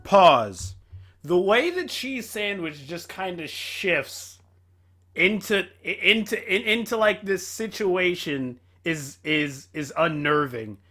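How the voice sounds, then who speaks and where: High, bright-voiced man reacting. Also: lively intonation, slow pace. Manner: exclamatory, swearing. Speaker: reaction video host. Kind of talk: casual reaction commentary.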